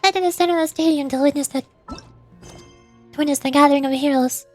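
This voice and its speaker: High-pitched woman streaming a game. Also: steady tone, brisk, soft background music. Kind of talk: live game commentary and reading on-screen text aloud.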